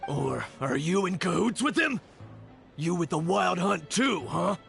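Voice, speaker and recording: Very gravelly, suspicious townsman. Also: high-pitched, expressive, a little slow, fairly bright tone, faint music behind, heavily acted. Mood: accusing. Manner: confrontational. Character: gruff, suspicious, accusing townsman in a mob. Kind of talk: anime game voice acting.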